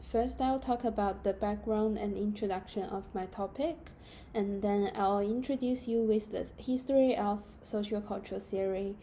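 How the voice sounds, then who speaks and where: Young female student presenter, Mandarin native with Chinese accent, deep mellow timbre, measured read-aloud delivery. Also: a little hiss or hum, low-quality recording. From China. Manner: steady, careful reading of a prepared script.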